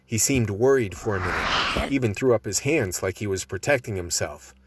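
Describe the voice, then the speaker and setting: A man's voice: on the low side, lively intonation, moderate pace, breathy edge. A man playing a tough survivor who narrates his fight with vampires in a first-person radio play. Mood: grim, steady narration.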